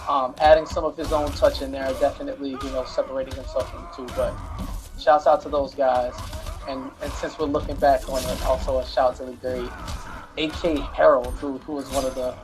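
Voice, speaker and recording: A man's voice: mid-range voice, level tone, fairly quick, poor audio. A man doing loose, joking play-by-play commentary on a fighting game tournament. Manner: hype, loose, jokes with co-caster. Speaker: esports commentator.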